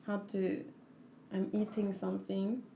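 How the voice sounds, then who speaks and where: A woman hosting a read-along in a casual, expressive and giggly reaction style. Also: medium pitch, measured pace, vocal fry, slight background noise. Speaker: host of a manga read-along.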